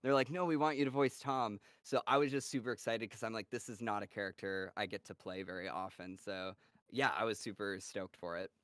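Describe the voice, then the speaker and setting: A man's voice: on the low side, fairly even intonation, fairly bright tone, no echo, clean studio sound. Upbeat young man hosting a cast chat. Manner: upbeat, laughs often, quick hand-offs. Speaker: voice actor moderating a cast Q&A. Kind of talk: casual livestream chat.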